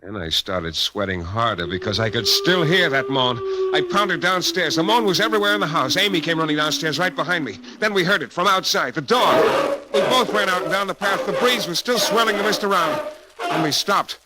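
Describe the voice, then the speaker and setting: A man's voice: a little high, expressive, fairly quick, close to an everyday voice, low-quality recording. An actor playing a wry, hard-boiled American private detective, narrating his case in a 1940s radio drama style. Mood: tense. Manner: wry, world-weary storytelling. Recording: old radio broadcast with music behind.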